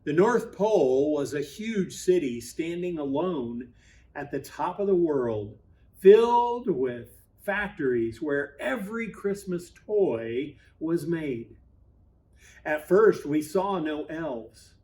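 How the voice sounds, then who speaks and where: A man reading a children's Christmas storybook aloud in an expressive, measured way, with deliberate pauses and emphasis. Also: on the high side. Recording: voice at a distance from mic.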